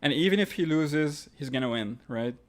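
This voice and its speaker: A man's voice: a little high, expressive, normal speed. A man from Egypt with an Egyptian accent, hosting a live stream and reading out viewer questions, then answering them offhand. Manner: reads questions aloud then answers offhand, with fillers and restarts.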